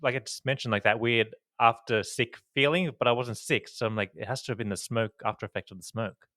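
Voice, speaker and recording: A man co-hosting a casual podcast, chatty and animated, sounding slightly croaky. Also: medium pitch, brisk, no echo, clean studio sound. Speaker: podcast co-host. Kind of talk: casual chat. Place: New York.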